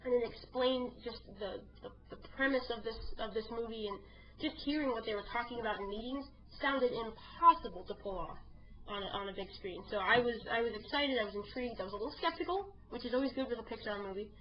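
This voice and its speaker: A woman's voice: on the high side, quick pace, highs cut off, slight background noise. A woman co-hosting a casual movie chat podcast, upbeat and steering the conversation. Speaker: podcast co-host.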